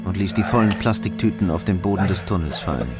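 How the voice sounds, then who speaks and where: Low-voiced man narrating a crime drama. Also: fast talker. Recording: loud music behind the voice.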